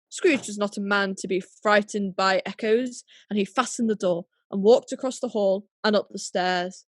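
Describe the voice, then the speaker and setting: A woman narrating a radio play as a storyteller, measured and dramatic. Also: medium pitch, dry recording.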